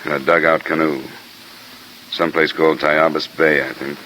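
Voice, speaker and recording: An actor playing a tough, dry American insurance investigator in clipped 1950s radio detective style. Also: male voice, extremely low pitch, little pitch movement, normal speed, sharp tone, a little hiss or hum, poor audio. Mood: subdued. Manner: clipped, dry, hard-boiled. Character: tough dry male insurance investigator narrating his case. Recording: old radio recording with music.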